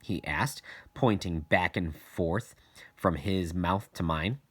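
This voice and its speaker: A man reading a children's novel aloud in an expressive storytelling style, with deliberate, dramatic pauses. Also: deep voice, a little hiss or hum. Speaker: audiobook reader.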